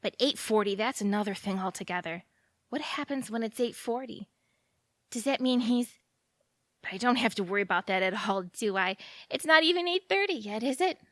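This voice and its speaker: A woman's voice: fairly high pitch, expressive, normal speed, fairly bright tone, no echo, studio quality. A woman acting in audio theatre as an anxious, lovelorn young woman who rambles and questions herself fretfully. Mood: rising desperation.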